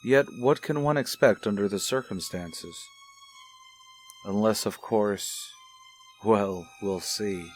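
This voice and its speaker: Measured, gently probing radio doctor. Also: male voice, on the low side. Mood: quiet, musing. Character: kindly, probing male child psychologist, a father. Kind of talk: mid-century radio soap opera acting. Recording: vintage radio recording with music.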